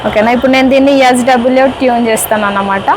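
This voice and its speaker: A woman's voice: fairly high pitch, steady tone, very fast. Telangana Telugu woman, noisy casual recording. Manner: repeatedly checks in with listeners, addressing them as friends. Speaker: vlogger presenting a product. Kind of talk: casual unboxing demonstration.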